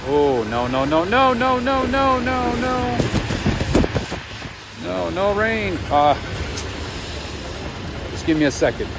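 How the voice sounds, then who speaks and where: A man's voice: slow, pauses often. A man hosting a night-time walking livestream outdoors in a city, chatting casually with his viewers. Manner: chatty, addresses viewers, lively swings in pitch. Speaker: livestream walking-tour host. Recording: loud street noise. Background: loud city traffic noise.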